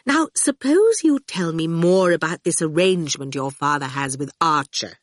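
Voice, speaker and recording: A woman's voice: deep voice, animated, moderate pace, no echo, clean studio sound. A woman voicing a haughty, glamorous, ageless woman ruler in an audiobook, cool and sweetly condescending. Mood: pouting, breezy. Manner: pouting, cold then gracious. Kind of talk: audiobook character voice.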